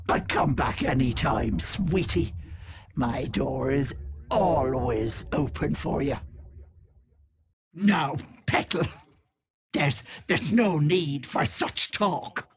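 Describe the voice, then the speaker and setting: An actress voicing a wicked old hag with a raspy, cackling voice, taunting with sugary pet names. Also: female voice, deep voice, lively intonation, extremely slow, long pauses, crowd noise, full character voice. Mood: menacing, teasing. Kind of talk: video game voice acting.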